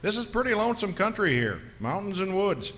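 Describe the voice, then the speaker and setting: A folksy American gas station attendant in an old-time radio play, acted by a man. He is chatty and plain-spoken. His voice sits fairly high and swings in pitch, with faint noise on the recording. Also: very animated, brisk, a bit bright. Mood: puzzled, matter-of-fact.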